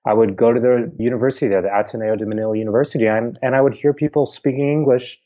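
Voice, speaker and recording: A man's voice: mid-range voice, steady tone, fast, warm tone, muffled recording. A middle-aged man with a Pennsylvania accent, a historian answering interview questions in a thoughtful, explanatory way with informal asides. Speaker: historian guest.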